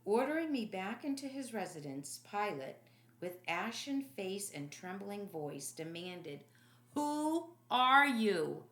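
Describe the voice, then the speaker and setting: Slow, solemn woman storyteller, echoey. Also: medium pitch, lively intonation. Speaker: audiobook reader. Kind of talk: dramatic scripted story narration.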